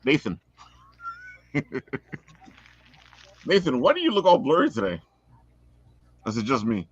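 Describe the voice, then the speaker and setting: A man's voice: mid-range voice, huge pitch swings, measured pace, over a noisy crowd. A man hosting a casual online chat show over a video call. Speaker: show host. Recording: dry, dull lo-fi call audio.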